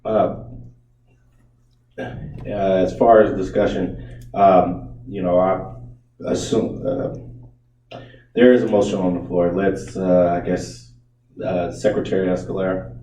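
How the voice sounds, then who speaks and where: Hesitant man with a very deep, flat voice. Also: slow, long pauses, a little hiss or hum. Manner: hesitant, lots of ums and uhs. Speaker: board president. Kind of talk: chairing a public board meeting. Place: meeting room. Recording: echoey room, distant muffled mic.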